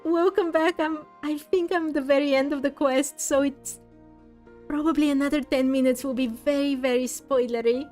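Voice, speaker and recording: A woman live-streaming a game, chatting casually and gently with her viewers in a slightly halting, apologetic way. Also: sits high, steady tone, soft background music.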